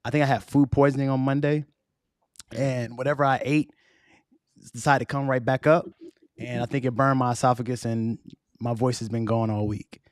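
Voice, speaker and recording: A man hosting a podcast in a casual, slangy way, his voice hoarse and fading. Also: mid-range voice, fairly even intonation, normal speed, long pauses, dry recording, studio quality. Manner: laid-back, slangy, conversational. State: losing his voice. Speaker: podcast host.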